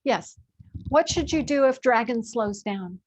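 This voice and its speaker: A woman's voice: fairly high pitch, lively intonation, measured pace. A woman hosting a casual, conversational interview. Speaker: interviewer, host.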